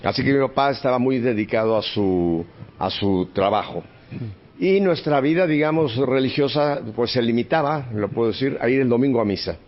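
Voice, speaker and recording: A man in his seventies from Mexico City, a podcast guest telling stories about his childhood in a relaxed, chatty way with easy laughter. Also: medium pitch, moderate pace. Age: 70s. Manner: chatty, warm reminiscing with frequent laughs. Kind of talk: relaxed storytelling in conversation. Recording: slightly muffled podcast audio.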